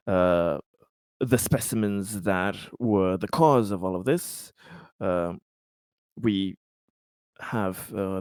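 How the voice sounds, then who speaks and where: Smooth, low-voiced man speaking hesitantly. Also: a little mellow, dry recording, studio quality. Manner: hesitant, measured, formal wording. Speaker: tabletop roleplaying player. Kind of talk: in-character tabletop roleplay talk.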